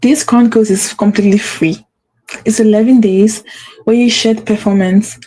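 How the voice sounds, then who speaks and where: A woman's voice: medium pitch, fairly even intonation, normal speed, warm tone, poor audio. A woman hosting a motivational talk, speaking with a stutter and repeating phrases for emphasis. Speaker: host.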